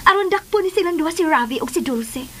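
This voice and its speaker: A woman playing a jealous, demanding, vindictive lover in a melodramatic radio drama, with a forceful, imperious manner. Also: very high voice, animated, fast, noisy background. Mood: threatening. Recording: music under the voice.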